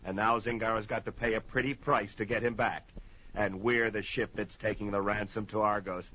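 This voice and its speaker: An actor playing a bullying, mocking deckmaster on a slave ship, barking orders in a pulp fantasy audio drama. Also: male voice, lowish voice, little pitch movement, moderate pace, bright, forceful tone. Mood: gloating. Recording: dull, lo-fi, slightly noisy.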